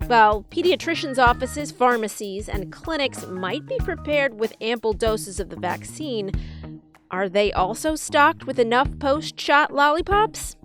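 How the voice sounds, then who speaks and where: A woman's voice: on the high side, a bit bright. A woman hosting a podcast in a scripted news-magazine style. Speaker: podcast host.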